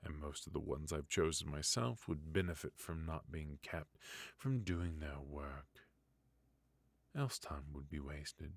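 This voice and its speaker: Haughty, indulgent royal tutor for fantasy ASMR , played by a man: male, deep, unhurried, formal and quietly bitter. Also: vocal fry, dry recording, exaggerated voice. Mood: imperious, aggrieved. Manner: formal, measured, wry, with musing hums and soft chuckles. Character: forgotten adult male royal mentor, resentful, haughty yet indulgent. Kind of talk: intimate ASMR fantasy roleplay.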